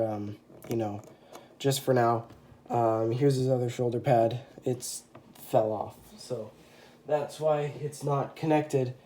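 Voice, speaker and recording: A man's voice: medium pitch, dark tone. A young man with a stuffy, congested voice from a cold, casually showing off a custom toy figure with lots of ums and self-corrections. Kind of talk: casual show-and-tell. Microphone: phone camera.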